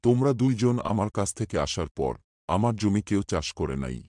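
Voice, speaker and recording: A man's voice: on the low side, big pitch swings, moderate pace, dark tone, no room sound, clean studio sound. A man playing a remorseful older moneylender in a cartoon, pleading and confessing. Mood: sorrowful. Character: remorseful, humbled older male moneylender landlord. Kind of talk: cartoon voice acting.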